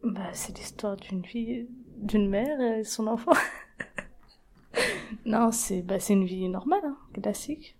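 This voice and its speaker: A woman's voice: medium pitch, slow, pauses often, a little mellow, a little hiss or hum. A woman in her twenties from the Paris region, answering interview questions in a plain, hesitant way with many fillers. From Paris region, France. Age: 20s. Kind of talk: documentary interview testimony.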